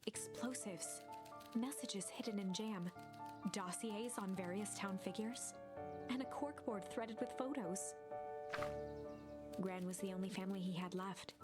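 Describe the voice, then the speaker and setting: A woman narrator reading a scripted storybook game in a measured storytelling voice. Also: medium pitch. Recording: narration over game music.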